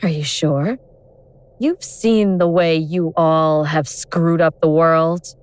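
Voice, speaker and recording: A woman playing an awakened ancient goddess of vengeance in human form, speaking in a wry, deliberate, sardonic way in an audio drama. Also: low-pitched, fairly even intonation, smooth, no room sound. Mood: bitter, scornful. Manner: sardonic, world-weary, deliberate explaining.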